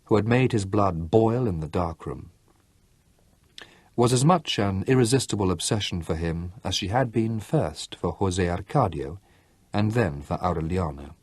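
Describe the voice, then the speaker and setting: Low husky-voiced man narrating a novel. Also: normal speed, no echo. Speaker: audiobook narrator. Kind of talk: scripted literary narration.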